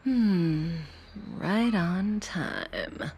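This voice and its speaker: A woman playing a calm, seductive manipulator in a dramatic video game, coaxing and breathy with hypnotic command. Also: fairly low pitch, drawn-out words, soft background music, exaggerated voice.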